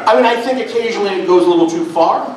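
A middle-aged man performing a sardonic stand-up comedy set on a small club stage, with deadpan asides and lots of "uh" fillers. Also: sits high, very fast, crowd noise. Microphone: handheld mic, filmed from the back of the room. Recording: echoey club audio from far off. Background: audience laughter.

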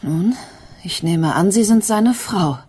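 A woman's voice: mid-range voice, lively intonation, slow, dark tone, breathy edge. An actress playing a grieving, guarded mother in a German radio crime drama, subdued and reserved. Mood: guarded, weary. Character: grieving, guarded, bitter mother of a dead child.

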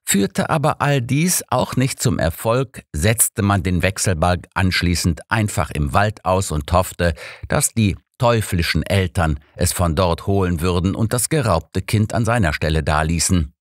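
A man reading an elderly, learned detective in an audiobook, with a measured, formal, explanatory and calmly assured way of talking. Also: deep voice, dry recording, studio quality. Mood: lecturing.

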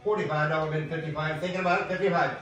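Male auctioneer, rhythmic bid chant, higher voice, repeated numbers, distant echoey corridor recording. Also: steady tone, rapid-fire, over a noisy crowd. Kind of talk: live auction bid calling. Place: indoor metal-walled storage facility corridor. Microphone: camera several metres away. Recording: echoey storage hallway, distant microphone.

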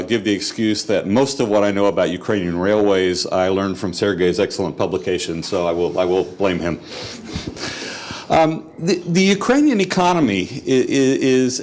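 Older american guy on a panel mic, lowish voice, measured and a bit dry. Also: a little mellow. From United States. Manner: measured, with dry asides and some restarts. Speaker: panel speaker. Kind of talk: conference panel remarks. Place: conference hall stage. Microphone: handheld mic.

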